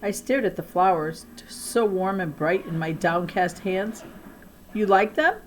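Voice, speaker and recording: A woman narrates a children's story at a slow, deliberate pace, in a slightly low voice. Her phrasing is expressive and emphatic, and there is clear noise in the recording. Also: poor audio. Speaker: audiobook-style reader of a children's novel. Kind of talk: expressive read-aloud narration.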